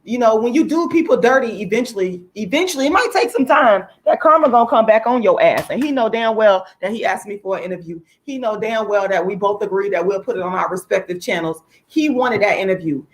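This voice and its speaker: A woman hosting a casual livestream, speaking to viewers in an informal, outspoken, emphatic way with a slightly hoarse voice. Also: medium pitch, animated, brisk, few pauses. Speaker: livestream host, activist.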